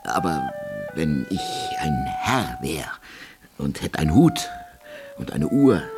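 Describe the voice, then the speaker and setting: A poor, brooding common soldier in a radio play, low-voiced and slow. He speaks plainly and haltingly, with humble deference, over faint music. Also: full character voice. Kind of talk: German radio drama acting.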